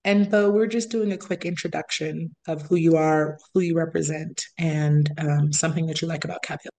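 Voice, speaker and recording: A woman's voice: low-pitched, steady tone, normal speed, warm tone. A woman hosting a casual community meeting over a video call, friendly and keeping things moving. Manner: friendly, moves things along. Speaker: meeting host.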